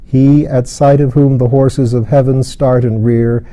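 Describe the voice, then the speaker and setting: Storybook patriarch: solemn, calm, authoritative father, grave measured phrasing, very dark soft tone, acted by a male narrator. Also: medium pitch, lightly acted. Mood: solemn, assured. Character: Adam, solemn ancient father, calm, authoritative, reassuring man.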